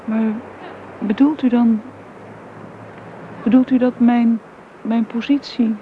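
An actress playing a gentle, anxious young housekeeper and nanny in a radio drama, speaking hesitantly. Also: female voice, fairly high pitch, fairly even intonation, takes it slowly. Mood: shaken. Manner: hesitant, deferential to employer. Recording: dull, noisy old radio recording.

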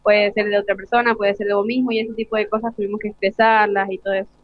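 A woman's voice: medium pitch, little pitch movement, fast talker, dry recording. A young Argentine woman, a student, giving informal explanatory answers in an interview. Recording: dry, slightly dull lo-fi broadcast.

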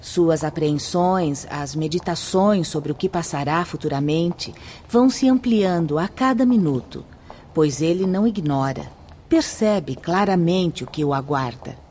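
A calm, authoritative Brazilian mentor instructs students in a radio drama. The voice is lowish and dark-toned, and the delivery is measured and formal. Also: female voice, a little hiss or hum. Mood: grave explanation.

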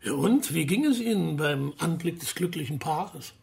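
An actor in a radio drama playing a persistent, composed male investigative journalist, measured and questioning, with a raspy voice. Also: fairly high pitch, animated, darkish tone, full character voice. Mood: probing. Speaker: interviewing journalist. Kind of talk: German radio crime drama acting, interviewer.

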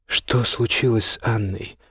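A man's voice: fairly low pitch, steady tone, unhurried, sharp tone, breathy edge, dry recording, studio quality, exaggerated voice. A man voicing a firm, frank marquis in an audiobook, speaking sternly and insistently as a concerned friend. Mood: probing.

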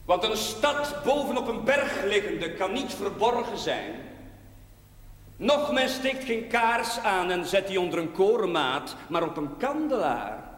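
A solemn village priest preaches from the pulpit in a high voice. He speaks slowly and rhetorically, weighing each phrase, as in a period television drama. The sound rings through a long, echoing hall. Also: sing-song, a bit bright, exaggerated voice. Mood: solemn, reflective. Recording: echoey church-like hall with hiss.

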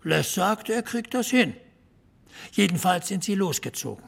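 A man's voice: high-pitched, lively intonation, unhurried, gravelly, husky, full character voice. An actor playing a dry, laconic small-town drinking buddy who needles his friend in a radio drama. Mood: dry, matter-of-fact.

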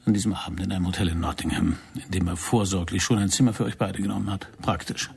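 Husky, low-voiced controlling secret agent. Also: male voice, fairly even intonation, quick pace, a little mellow, full character voice. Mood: seductive, pressing. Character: intense, controlling male secret agent coaching a recruit. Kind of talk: radio drama acting.

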